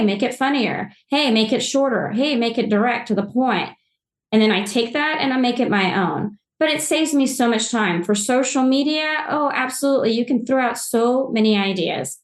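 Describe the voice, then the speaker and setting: Upbeat immigrant woman from Poland, roomy echo. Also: fairly high pitch. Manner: enthusiastic, rambling with restarts. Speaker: social media agency guest. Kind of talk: podcast interview answers.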